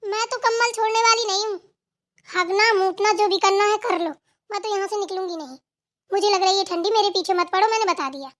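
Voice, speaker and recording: A woman acts out a spoiled young wife in a family cartoon. The voice is extremely high and girlish. She is fussy and stubborn, answering back in a whiny, snippy way. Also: brisk, a bit bright. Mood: disgusted, stubborn. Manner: petulant, snippy back-talk. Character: whiny, stubborn, lazy young daughter-in-law, complaining and fussy. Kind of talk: amateur Hindi family cartoon dialogue.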